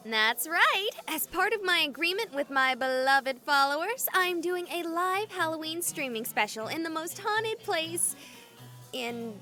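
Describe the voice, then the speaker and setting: Casting: perky young female game streamer, cheeky, very animated high voice, light music behind. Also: a little slow, full character voice. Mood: perky, chatty. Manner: perky, playful, slangy. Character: bubbly, cheeky young female livestreamer on a ghost hunt. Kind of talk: acted livestream chatter in a visual novel.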